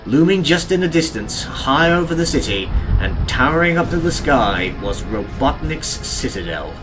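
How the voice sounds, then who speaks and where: A man reading scripted audiobook narration of an adventure story in a deliberate, dramatic, descriptive way. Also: medium pitch, sing-song, rough recording. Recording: music behind the narration. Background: game and cartoon soundtrack music.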